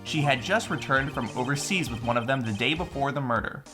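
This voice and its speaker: A man's voice: medium pitch, brisk, over music, natural voice. A man voicing a condescending, formal prosecutor with pointed delivery in a video game fan dub. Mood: smug, accusing. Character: smug male prosecutor, formal and needling.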